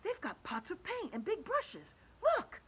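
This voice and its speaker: A woman voicing a brave young boy in a storybook reading, whispering or calling out excitedly. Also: very high voice, a little slow, slight background noise, pitched above the performer's own voice, exaggerated voice.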